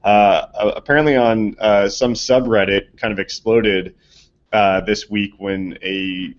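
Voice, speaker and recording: A man's voice: on the low side, dull audio. A man co-hosting a casual tech chat, telling a story in an easygoing way. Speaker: co-host.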